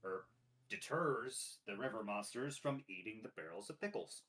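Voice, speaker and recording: Man in his late twenties, lowish voice, dry wry humor, casual chat. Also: lively intonation, moderate pace, fairly bright tone. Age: 20s. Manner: dry, wry joking asides. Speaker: friend helping write the campaign.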